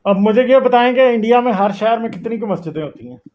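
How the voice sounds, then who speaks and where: A man's voice: extremely high pitch, lively intonation, fast talker, dull audio, crowd noise. A man hosting a casual call-in discussion, insistent and given to repeating his phrases. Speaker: host.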